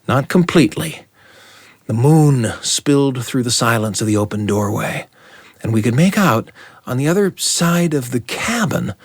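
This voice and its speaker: Animated male storyteller, close and dry. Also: medium pitch, slightly rough, no room sound. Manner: deliberate, suspenseful, with pauses and swings for effect. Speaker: storyteller and show host. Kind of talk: dramatic first-person oral storytelling.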